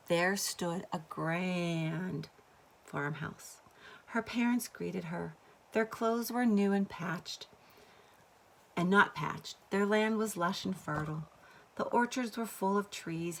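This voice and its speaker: A woman's voice: fairly low pitch, creaky. A woman reading a children's story aloud expressively, like a teacher to pupils. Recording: faint background noise.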